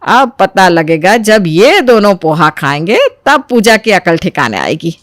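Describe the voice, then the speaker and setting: A woman's voice: medium pitch, big pitch swings, unhurried, no echo, clean studio sound. Woman playing a theatrical, swooping older mother-in-law. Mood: smug, sly. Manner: theatrical, exaggerated. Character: scheming, dramatic older mother-in-law, falsely sweet. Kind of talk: animated Hindi moral story voice acting.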